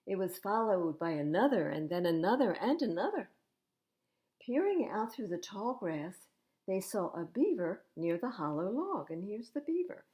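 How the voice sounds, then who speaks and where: An older woman reading picture books aloud as a library storytime host, in an expressive, animated manner. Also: medium pitch, normal speed. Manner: animated, acting out dialogue.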